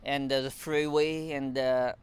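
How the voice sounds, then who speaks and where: A man whose first language is Mandarin, speaking English with a Chinese accent in a casual interview, pausing often with fillers and restarts and chuckling now and then. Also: medium pitch, level tone, clear, resonant tone. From China. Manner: halting non-native English with frequent fillers and restarts. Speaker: interview guest.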